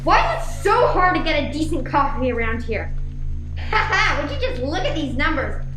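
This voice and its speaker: A woman's voice: high voice, very animated, normal speed. A woman playing a boastful, sneering town mayor in a children's radio play, bossy and pompous. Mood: smug. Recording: roomy sound with loud music behind.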